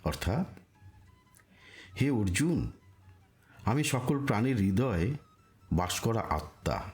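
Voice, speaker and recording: A man delivering a scripted spiritual narration in a solemn, deliberate manner, with rhetorical pauses. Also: fairly low pitch, very animated, a little mellow, faint music behind.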